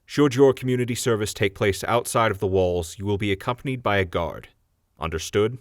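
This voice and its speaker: A man's voice: fairly low pitch, a little fast, no room sound, studio quality, lightly acted. A man voicing a stern town judge who speaks formally and scolds the defendants.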